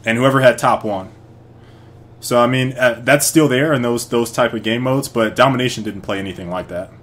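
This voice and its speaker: A man's voice: mid-range voice, brisk, fairly bright tone. Hesitant man hosting a gamer chat. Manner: hesitant, many fillers and restarts. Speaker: stream host. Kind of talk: casual group chat over voice call.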